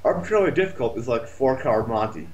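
A man's voice: mid-range voice, animated, fast talker, a little mellow, low-quality recording. A man chatting casually about a video game, complaining in a sardonic, foul-mouthed way.

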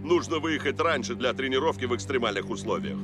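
A man plays a serious cartoon football coach with a mid-pitched voice. His intonation is lively as he instructs matter-of-factly. Music plays behind. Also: fast talker, close to an everyday voice. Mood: serious, instructive. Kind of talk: dubbed cartoon acting.

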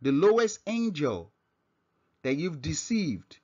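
A man with a Nigerian accent giving an informal scripture lesson as a religious teacher, halting and often repeating and rephrasing himself. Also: on the high side, sing-song, dark tone, husky. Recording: dull, lo-fi microphone sound.

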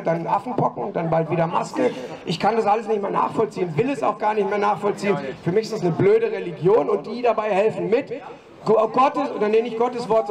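A man giving a halting protest speech at an open mic on a city street, with many fillers and restarts, speaking into a handheld mic. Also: high-pitched, vocal fry, distant mic. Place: city street outside a broadcast building. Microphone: handheld mic, far camera.

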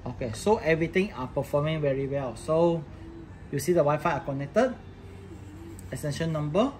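A man's voice: on the high side, animated, moderate pace, noisy background. A man giving an informal hands-on tech tutorial, talking through each step as he works. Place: indoor office workbench with electronic devices.

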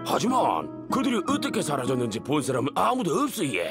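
A man's voice: on the high side, wildly swooping pitch, brisk, loud music behind the voice. A man voicing a polite, folksy older village chief with a Gyeongsang Korean accent in a video game. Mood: concerned.

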